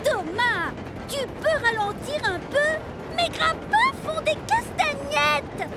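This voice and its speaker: A woman's voice: extremely high pitch, animated, takes it slowly, loud music behind the voice, full character voice. A woman voicing a grumpy, fretful talking railway coach in a children's cartoon, scolding and exclaiming. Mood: alarmed, scolding.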